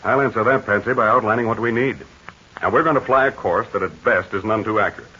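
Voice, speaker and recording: An actor playing a confident, commanding heroic aviator in a 1940s radio serial. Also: male voice, lowish voice, fast, breathy edge. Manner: steady, commanding, reassuring. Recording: dull lo-fi 1940 radio recording.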